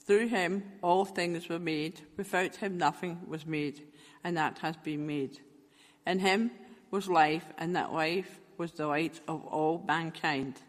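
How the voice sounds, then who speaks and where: A man reading scripture aloud in a measured, careful way. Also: fairly high pitch, steady tone, long reverb tail. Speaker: scripture reader.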